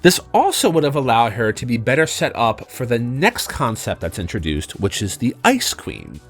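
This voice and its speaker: A man's voice: mid-range voice, wildly swooping pitch, normal speed. A man narrating a video game review in a conversational, emphatic way, as a channel host. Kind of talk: semi-scripted review narration. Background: background music.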